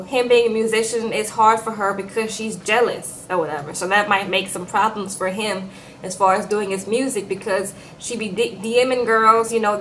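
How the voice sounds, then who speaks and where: A young woman recapping a reality show in casual, animated chat, often tagging her lines with "okay?". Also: a little high, a little hiss or hum, poor audio.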